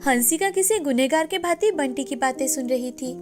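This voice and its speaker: High-pitched woman narrating children's stories. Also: moderate pace, music in the background. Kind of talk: scripted children's story narration.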